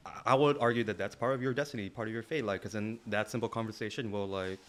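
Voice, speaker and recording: Low-voiced man in casual, reflective chat. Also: fairly even intonation, fast, fairly bright tone. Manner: slangy, heavy use of "like" and "you know". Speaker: podcast co-host.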